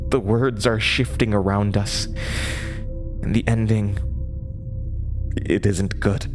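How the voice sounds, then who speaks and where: An unhinged, lonely woman, acted out by a man tells her tale in a horror audio drama. The delivery is slow, halting and eerily wistful, as if steered by inner voices. Music plays clearly behind the voice. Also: mid-range voice, close to an everyday voice. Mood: pleading, ominous.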